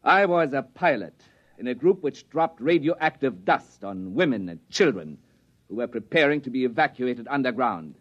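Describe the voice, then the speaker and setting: A grave captive soldier, lo-fi. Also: male voice, mid-range voice, very animated, moderate pace. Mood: stiff recitation. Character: captive enemy soldier, tender doomed lover, dutifully reciting hatred. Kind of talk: 1950s radio drama acting. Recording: dull lo-fi 1950s radio recording.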